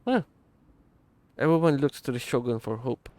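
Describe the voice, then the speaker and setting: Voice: medium pitch, normal speed, mellow, slight background noise. A streamer giving casual, brief reaction commentary while playing a video game. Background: game music.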